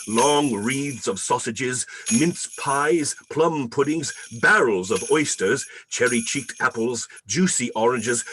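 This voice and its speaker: A man narrating a radio play in a theatrical, deliberate storybook style. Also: mid-range voice, a bit bright, a little hiss or hum. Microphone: video call.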